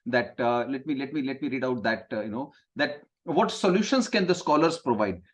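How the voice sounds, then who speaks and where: A man's voice: medium pitch, expressive, a little fast. A man from India whose first language is Gujarati, moderating a webinar over a video call and rambling with many fillers. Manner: rambling, heavy "you know" fillers and restarts. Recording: dull lo-fi call audio.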